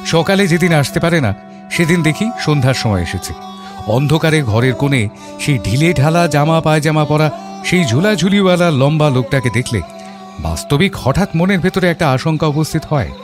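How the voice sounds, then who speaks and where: A man narrating an audio story as a thoughtful father, in a measured, literary storytelling manner. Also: on the high side, lively intonation, over music, lightly acted. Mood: reflective. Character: thoughtful middle-class father telling his story.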